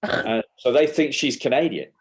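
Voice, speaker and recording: A man's voice: medium pitch, lively intonation, moderate pace. A man in his fifties with a Yorkshire accent, a guest explaining his school workshops in a casual interview, full of restarts and fillers. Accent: Yorkshire English. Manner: explains in short bursts with many restarts and fillers. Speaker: guest, educational publisher and school workshop speaker. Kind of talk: conversational interview.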